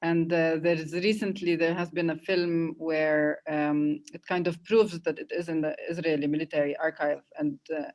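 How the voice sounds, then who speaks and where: A woman speaking in a casual unscripted discussion, halting and often breaking off to rephrase herself. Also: deep voice, level tone, a little mellow. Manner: halting, self-interrupting, conversational.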